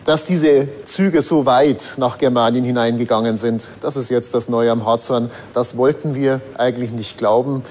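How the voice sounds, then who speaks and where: A man speaks as an expert historian in an interview. His voice is of average pitch and his commentary is reflective. A little ambient noise sits behind him. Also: steady tone, normal speed, hardly any pauses, a little mellow.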